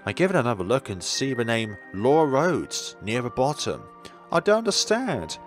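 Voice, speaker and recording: A man's voice: medium pitch, animated, moderate pace, soft background music. A man reading a visual novel's scripted narration and dialogue aloud. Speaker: video game player reading the story aloud.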